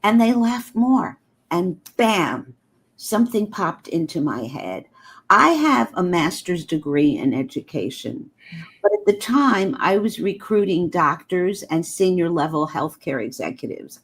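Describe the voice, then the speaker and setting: An older woman whose first language is German, telling a personal story as a guest with earnest, heartfelt feeling. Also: lowish voice.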